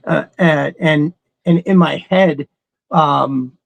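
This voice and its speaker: A man's voice: a little high, slow pace, gravelly. A man chatting casually as a podcast co-host, enthusiastic and rambling, with some swearing thrown in. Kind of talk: casual chat about cartoons.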